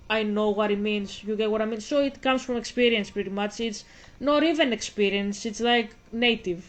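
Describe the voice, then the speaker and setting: A woman of twenty-five from Greece, speaking English in rambling, self-interrupting casual commentary aimed straight at her viewers. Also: fairly high pitch. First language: Greek. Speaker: vlogger.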